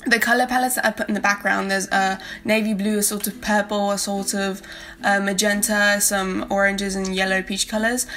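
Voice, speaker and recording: A young woman with a light Spanish accent talks in a loose, rambling voice-over, full of fillers. Her pitch stays fairly flat and even. Faint guitar music plays softly behind her. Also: moderate pace. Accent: South American Spanish. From South America. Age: young adult. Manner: rambling, friendly, many fillers. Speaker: art YouTuber. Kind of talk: casual voice-over while drawing.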